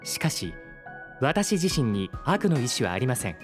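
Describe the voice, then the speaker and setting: AI character, audio drama: polite, measured, unemotional, medium-pitched, over clear background music. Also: big pitch swings. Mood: calm, courteous. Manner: formal, polite, measured speech. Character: polite, formal artificial intelligence, calm and unemotional. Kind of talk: scripted audio drama acting. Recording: music behind the voice.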